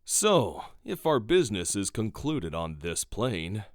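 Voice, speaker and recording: A man acting an urbane, slyly amused god in a fantasy audio drama, speaking in a measured, formal, theatrical way. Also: fairly low pitch, big pitch swings, no echo, clean studio sound.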